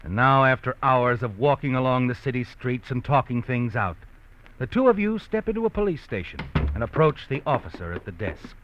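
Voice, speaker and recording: A man's voice: medium pitch, animated, brisk, fairly bright tone, slight background noise, close to an everyday voice. An American actor playing an ominous, all-knowing storyteller in a vintage radio suspense show, addressing the guilty man directly with dramatic taunts. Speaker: narrator of a mystery series. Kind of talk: 1940s radio suspense narration. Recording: dull vintage radio recording with music.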